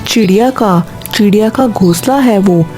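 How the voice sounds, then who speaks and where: A woman's voice: medium pitch, a little slow, darkish tone, lightly acted. A woman voicing a meek, submissive male crow husband in a cartoon story, questioning and easily bossed. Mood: eager. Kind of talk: Hindi cartoon story dialogue. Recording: faint music behind the voice.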